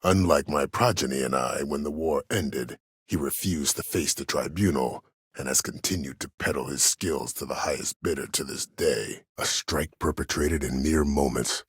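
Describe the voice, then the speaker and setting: Game villain: disgraced old military schemer, extremely low husky voice, coldly self-justifying, formal and imperious. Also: male voice, dry recording, clean studio sound, full character voice. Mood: proud, calculating. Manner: measured, formal, imperious, calculating. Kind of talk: dramatic game dialogue.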